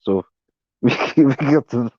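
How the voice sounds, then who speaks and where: A man's voice: medium pitch, slow pace, no echo, muffled recording. A man in his late twenties chatting casually, laid-back and joking with lots of filler words. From England. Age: 20s. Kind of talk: casual chat.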